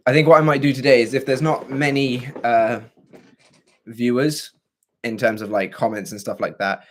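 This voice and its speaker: A hoarse young man with a scratchy, throaty voice, hosting a livestream and chatting casually with viewers. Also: mid-range voice, steady tone, normal speed. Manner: chatty and rambling, with frequent fillers and throat clearing. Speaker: livestream host. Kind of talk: casual livestream chat answering viewer comments.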